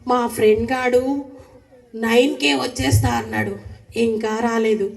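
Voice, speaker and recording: A mischievous little boy puppet played by a woman in a children's show. The voice is high and creaky, set back from the microphone. He whines and protests in an exaggerated, childlike way. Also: steady tone, normal speed, a little mellow, far from the mic. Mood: sulky, scheming. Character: mischievous, stubborn little boy puppet, whining younger brother.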